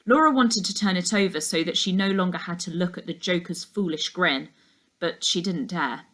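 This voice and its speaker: A woman's voice: lowish voice, moderate pace, fairly bright tone. A woman reading a children's novel aloud as a teacher does for her class.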